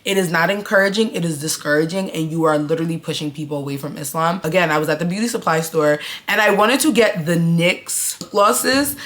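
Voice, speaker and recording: A woman's voice: fairly low pitch, brisk, hardly any pauses, a bit bright. A woman in her early twenties talking straight to her viewers in a casual vlog, blunt and emphatic. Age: 20s. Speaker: vlogger. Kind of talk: casual vlog talk to camera.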